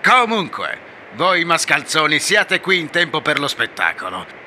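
Swaggering pirate fox animatronic, a boastful male show robot hamming it up in a fan-dubbed cartoon, played by a man. His voice is bright and sharp, swinging widely up and down as he drags out his words with loud pirate bluster. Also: a little high, sing-song, unhurried, slight background noise, heavily acted. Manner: bellowing, laughing pirate bluster. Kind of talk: fan dub voice acting.

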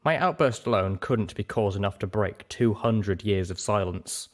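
A man's voice: lowish voice, normal speed. A man reading scripted first-person fiction as an audiobook narrator.

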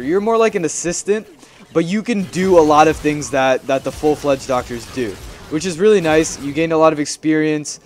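A young man giving casual, rambling gameplay commentary, chatty and playfully repeating his phrases. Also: on the high side. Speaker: gaming video host.

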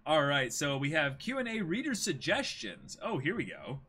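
A man's voice: fairly high pitch, wildly swooping pitch, normal speed, pushed and bright, slightly rough. A young man co-hosting a stream, chatting casually and reading things out. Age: young adult. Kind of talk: casual chat, reading out viewer messages. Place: small indoor streaming setup.

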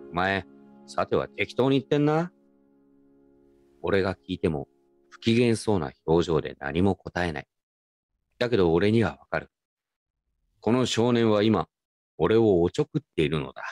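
A low-voiced man acting as a nostalgic grown grandson in a radio drama. He tells his story in a casual, reflective, wry way, with faint music behind him. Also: no room sound. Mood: exasperated. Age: adult. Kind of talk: first-person radio drama narration and dialogue.

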